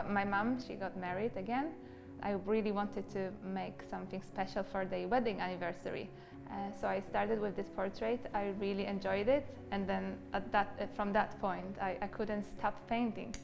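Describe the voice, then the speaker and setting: Flat-toned Polish woman, earnest. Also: mid-range voice, creaky. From Poland. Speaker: painter. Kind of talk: interview monologue. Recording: faint music behind.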